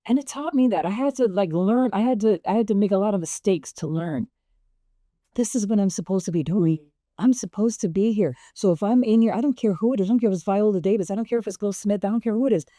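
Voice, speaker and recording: A woman with a relatively deep voice, a guest actress telling stories in an animated, laughing way. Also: fast talker, dry recording, clean studio sound. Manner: animated, laughs often, voice jumps up when excited. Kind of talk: casual interview storytelling.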